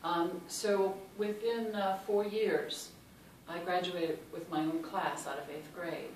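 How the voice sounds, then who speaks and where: A woman with a Chicago accent tells personal stories to a panel audience, pausing often on um and uh. Her voice sits a little low. It comes through a very echoey hall from a distant mic, with clear background noise. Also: steady tone. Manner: warm and anecdotal, many ums and uhs. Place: large hall.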